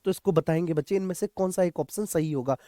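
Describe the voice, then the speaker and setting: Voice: mid-range voice, quick pace, warm tone, no echo. Exam coach, repetitive and dry-sounding. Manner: repeats key points, often checks understanding; addresses students familiarly as children. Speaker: teacher, exam coaching instructor. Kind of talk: lecture for exam students, questioning and explaining.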